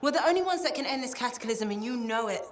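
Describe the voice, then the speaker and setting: A woman's voice: a little high, animated, fast, lightly acted. A woman playing a determined, dry expert adventurer in a video game cutscene, speaking firmly and insistently. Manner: firm, insistent.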